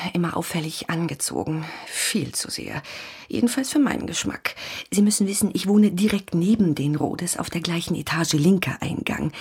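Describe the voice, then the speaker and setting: Very breathy gossipy widow. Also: female voice, on the low side, a little mellow, exaggerated voice. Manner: hesitant, insinuating, self-justifying. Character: prim, gossipy well-off widow, indignant informant. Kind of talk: radio drama acting.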